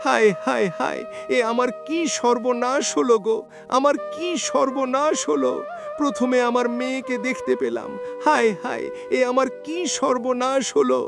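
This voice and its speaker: A man's voice: very high-pitched, expressive, unhurried, gravelly, music in the background, heavily acted. A man voicing a poor, fretful woodcutter and father in a cartoon, dramatic and pleading in his delivery. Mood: wailing, despairing. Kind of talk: cartoon voice acting.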